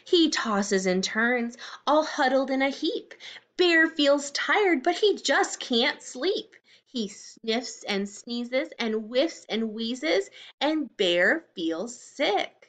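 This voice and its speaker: A woman giving a read-aloud lesson for young children, explaining and questioning in simple, expressive phrases. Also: sits high, slow. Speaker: teacher reading aloud to children.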